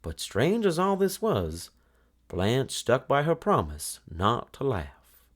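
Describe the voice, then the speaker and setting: A man narrating a children's storybook aloud in an expressive, dramatic style. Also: medium pitch, slow pace, heavy background noise.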